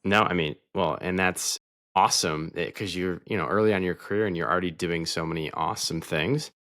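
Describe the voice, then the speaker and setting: Deep-voiced upbeat man hosting a podcast. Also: fairly quick, sharp tone, dry recording, clean studio sound. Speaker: podcast host.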